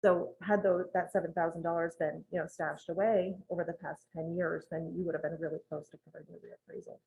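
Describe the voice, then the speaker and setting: A woman advising a town board on budgeting, explaining rules in a measured, methodical way with frequent ums, in a town meeting room. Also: fairly low pitch, fairly even intonation, creaky, darkish tone, rough recording. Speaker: municipal finance advisor presenting to a town board.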